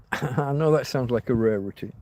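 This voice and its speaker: A man's voice: medium pitch, moderate pace, heavy background noise. Man rambling on a live stream. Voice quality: slight cough, throat tickle. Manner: meandering, restarts often, chats to viewers. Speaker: livestream host.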